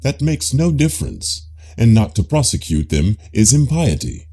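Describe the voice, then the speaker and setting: A very dark, gravelly voice from a man acting out a pious, self-assured Greek man in an audiobook dialogue. He declaims pompously, eager to prove himself, with pitch that swings widely through each phrase. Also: big pitch swings, moderate pace. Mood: self-assured, insistent. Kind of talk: dramatised audiobook reading of philosophical dialogue.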